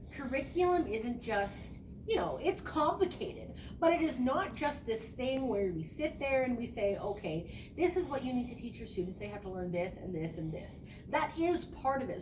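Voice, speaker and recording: A woman's voice: on the high side, far from the mic. Young woman in an echoey, noisy room. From small rural town. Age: young adult. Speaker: education student. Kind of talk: spoken reflective class presentation.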